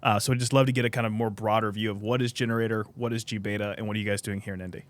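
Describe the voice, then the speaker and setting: A man's voice: fairly low pitch, steady tone, rapid-fire, no room sound. A man hosting a podcast, interviewing a guest in an upbeat, friendly, casual way. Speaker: podcast host.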